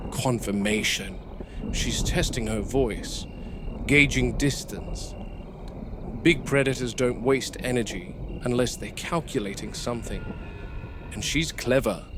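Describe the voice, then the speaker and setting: An actor playing a wary, weary male game warden at a dinosaur park, narrating a horror audio log in a measured, grave way. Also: medium pitch, close to an everyday voice. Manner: measured, grave, deliberate field commentary. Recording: noisy VHS-style field recording with outdoor ambience.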